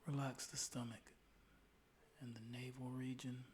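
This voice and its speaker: A man leading a guided meditation in a calm, soothing, unhurried way, with soft, breathy phrase endings. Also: mid-range voice, a little hiss or hum.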